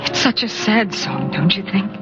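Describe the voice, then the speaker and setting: An actress playing a gentle, hesitant young woman in an old-time radio drama. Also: medium pitch, lively intonation, normal speed, natural voice. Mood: wistful. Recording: dull old radio recording with music behind.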